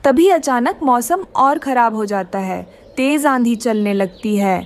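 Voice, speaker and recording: A woman's voice: on the high side, expressive, measured pace. A woman narrating a scripted children's story.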